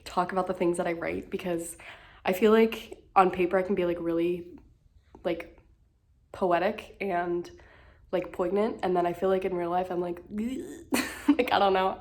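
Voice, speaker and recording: Young woman in her twenties, a little low-pitched, stuffy with a cold, slightly out of breath, halting casual vlog delivery into a handheld phone. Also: darkish tone. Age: 20s. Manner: hesitant, lots of fillers and restarts, self-deprecating. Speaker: vlogger. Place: at home indoors. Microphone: phone held at arm's length.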